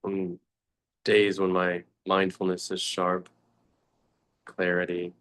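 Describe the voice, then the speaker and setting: Male meditation teacher, low-pitched and monotone, contemplative delivery with long pauses. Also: smooth. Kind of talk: guided meditation talk.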